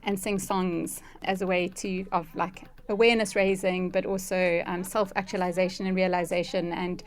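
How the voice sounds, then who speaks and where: A woman's voice: fairly low pitch, little pitch movement, moderate pace. A South African woman giving thoughtful, earnest answers as an interview guest. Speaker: interview guest, conservation ecologist.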